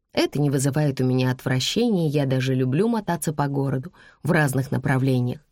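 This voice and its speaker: A woman's voice: sits low, a little mellow, no echo, clean studio sound, full character voice. A woman voicing a weary, wry, plain-spoken woman driver in an audiobook, in a musing, matter-of-fact manner that turns firm when fed up.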